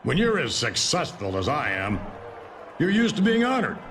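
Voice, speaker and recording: A man's voice: on the high side, huge pitch swings, normal speed, a bit bright, slight background noise, full character voice. A man playing a pompous, self-admiring wrestling company boss in a video game, speaking theatrically. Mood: smug self-praise. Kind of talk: video game cutscene speech.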